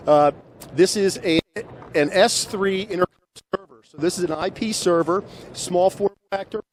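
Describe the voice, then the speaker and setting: A middle-aged man presenting products conversationally into a handheld microphone in a trade show hall. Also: on the high side. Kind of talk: promotional product demonstration, conversational. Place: large busy trade show hall, indoors. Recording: clear background noise of exhibition hall.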